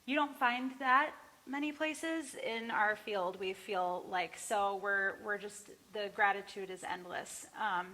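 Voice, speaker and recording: A woman with a bright, forceful tone talks earnestly and haltingly to a small gathering. Her mid-pitched voice rings in the long reverb of a barn as she speaks casually and from the heart. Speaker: singer and songwriter introducing own songs. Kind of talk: casual, personal talk before a performance. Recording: reverberant live barn recording.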